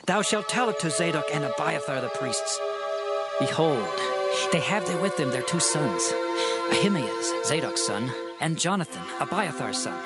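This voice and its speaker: A man's voice: a little high, sing-song, normal speed. A man playing a devout, sorrowful but resolute king, declaiming fervently in a dramatized scripture reading. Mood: urgent, commanding. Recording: loud music behind the voice. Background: music.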